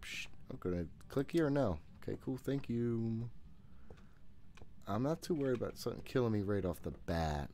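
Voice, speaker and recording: A man's voice: medium pitch, expressive, moderate pace, dark tone. A man in his thirties doing casual live commentary on a horror game, talking to himself in a jittery way and swearing often. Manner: jittery self-talk with frequent swearing. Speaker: gaming streamer. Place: small room at home, indoors.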